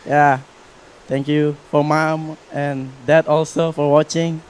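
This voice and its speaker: Slow-speaking young man, athlete interview. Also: fairly high pitch, level tone, a little mellow, slight background noise. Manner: halting, simple second-language English. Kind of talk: brief, simple thank-you interview.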